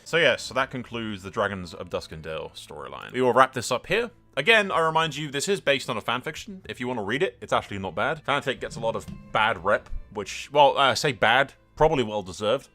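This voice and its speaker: A man's voice: medium pitch, expressive, fairly quick, few pauses, pushed and bright, faint music behind. A man giving casual, informal commentary on a game playthrough, with wry asides. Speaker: host of a gaming channel.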